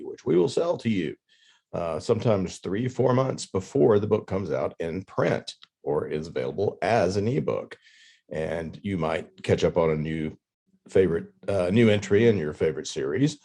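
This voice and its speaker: Low, husky-voiced male podcast host. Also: darkish tone. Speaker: podcast host and book editor. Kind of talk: scripted podcast announcing with casual asides.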